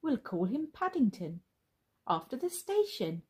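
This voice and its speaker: A woman's voice: high voice, big pitch swings, unhurried, darkish tone, breathy edge, pitched above the performer's own voice, exaggerated voice. A woman voicing a kind-hearted, decisive mother in a children's story, caring and full of exclamation. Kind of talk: children's storybook read aloud.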